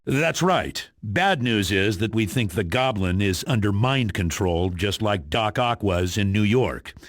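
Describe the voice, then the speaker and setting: An actor playing a serious agency chief in a video game, briefing heroes with formal authority. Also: male voice, lowish voice, expressive, a little slow, no room sound, studio quality. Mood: authoritative. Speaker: mission commander.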